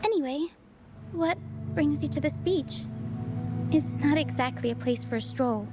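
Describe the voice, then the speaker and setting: A woman voicing a gentle, helpful young girl in a video game, soft-spoken and earnest with a playful streak. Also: high-pitched, measured pace, music in the background. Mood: calm, friendly. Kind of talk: video game cutscene voice acting.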